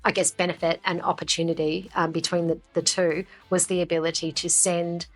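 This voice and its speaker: A woman's voice: on the low side, flat delivery, fairly quick. A woman doing a conversational business interview as a company founder, talking up a product. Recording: music behind the talk. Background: background music.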